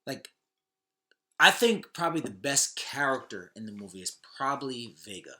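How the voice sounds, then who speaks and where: A man's voice: medium pitch, sing-song, moderate pace, bright, forceful tone. A man in his thirties chatting casually as a podcast co-host, with an informal, slangy way of talking. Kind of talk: casual chat.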